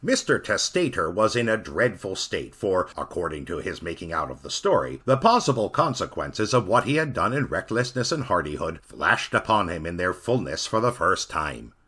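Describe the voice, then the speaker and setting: A man narrating a Victorian ghost story in a theatrical, expressive storyteller manner. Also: sits low, moderate pace, hardly any pauses, husky.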